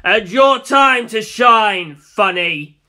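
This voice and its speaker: A man voicing a flamboyant, gloating immortal vampire villain with slow, loud, theatrical proclamations in a story reading. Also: high voice, lively intonation, voice pushed up, exaggerated voice. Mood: commanding.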